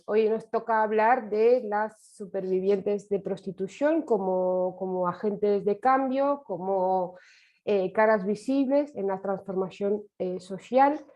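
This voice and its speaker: Soft-toned woman lecturing, Romanian native. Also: mid-range voice, slow pace, dull audio. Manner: reflective, with many hesitations and restarts. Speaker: course speaker. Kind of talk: online lecture. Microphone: video call.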